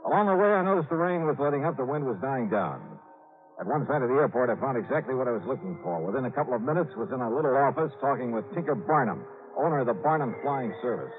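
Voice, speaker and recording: An American actor playing a brash, determined insurance investigator in old-time radio noir, with clipped, wry, hard-boiled delivery. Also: male voice, mid-range voice, animated, fast talker, faint music behind, close to an everyday voice. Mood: wry, narrating. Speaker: lead actor and narrator. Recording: noisy, tinny vintage radio recording.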